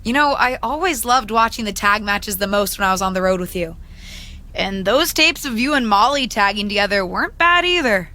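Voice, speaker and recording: A woman's voice: medium pitch, animated, brisk, pushed and bright. An actress playing an eager, plucky young female pro wrestler in a video game cutscene, speaking sincerely. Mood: reflective.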